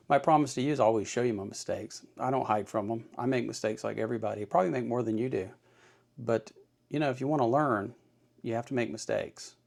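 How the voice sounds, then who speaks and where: Gravelly, folksy man teaching hands-on. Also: medium pitch, darkish tone. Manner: folksy, reassuring, repeats points plainly. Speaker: host of an electronics kit-building tutorial. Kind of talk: informal hands-on tutorial talk.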